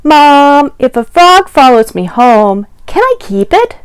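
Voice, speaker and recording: An eager young child from a picture book, acted by a woman, pleading in sing-song questions. The voice is pitched high and swoops upward. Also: big pitch swings, slow, no echo, heavily acted.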